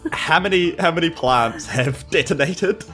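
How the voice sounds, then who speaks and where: Animated New woman playing tabletop games. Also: low-pitched, a little slow, faint music behind. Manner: informal, playful. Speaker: tabletop role-playing player. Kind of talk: casual game-table chat.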